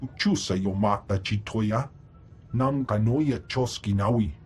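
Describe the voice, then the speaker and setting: A man voicing a gruff alien hunter companion who talks only in an alien language, in video game dialogue over faint game music. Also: on the low side, unhurried, natural voice. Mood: grumbling. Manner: speaks only an alien tongue.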